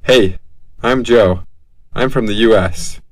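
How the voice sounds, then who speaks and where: A man reading scripted lesson lines in a plain, even way. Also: lowish voice, slow, no echo. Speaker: dialogue reader.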